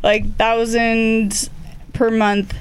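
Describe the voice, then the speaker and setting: A woman's voice: a little high, monotone, drawn-out words, clean tone, poor audio. A woman co-hosting a podcast in a casual group chat. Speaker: podcast co-host.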